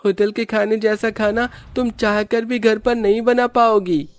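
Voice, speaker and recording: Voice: medium pitch, fairly even intonation, unhurried, lightly acted. A voice actor playing a boastful, taunting rich young boy in a cartoon story. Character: boastful rich young boy who loves hotel food.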